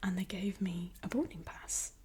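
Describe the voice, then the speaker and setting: A woman whispering a chatty, animated story in ASMR style. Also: medium pitch, moderate pace. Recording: clear background hiss with clicks.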